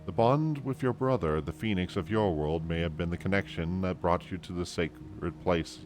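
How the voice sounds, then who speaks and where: A man voicing a learned fantasy game scholar who guides a traveler in a formal, measured way. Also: low-pitched, over music, close to an everyday voice. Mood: explaining, reverent.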